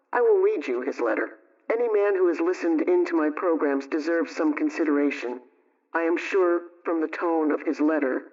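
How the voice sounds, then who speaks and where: Genteel 1920s radio homemaker played by an actress. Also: female voice, low-pitched, darkish tone, close to an everyday voice. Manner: measured, genteel, addressing listeners directly. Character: Aunt Sammy, chatty, gently wry 1920s radio homemaker. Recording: dull, lo-fi old radio recording.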